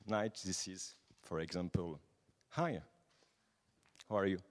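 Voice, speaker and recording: A man's voice: low-pitched, takes it slowly. A man in his twenties with a French accent gives an informal conference talk in English, often restarting and searching for words. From France. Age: 20s. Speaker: conference speaker, night-life association president. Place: large conference hall. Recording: reverberant hall.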